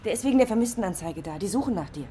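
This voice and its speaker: A woman's voice: mid-range voice, lively intonation, mellow, a little hiss or hum, natural voice. An actress playing an anxious, direct East Berlin woman in a radio drama, speaking with reproachful urgency. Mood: urgent. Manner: hurried, reproachful.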